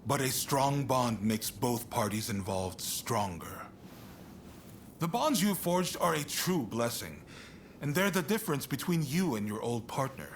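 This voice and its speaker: A man acting an affable, shrewd statesman in a video game cutscene, expressive and earnest but conversational. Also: medium pitch, measured pace, slight background noise. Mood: grateful, sincere. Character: affable shogunate statesman, shrewd negotiator, warm toward his ally. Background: music.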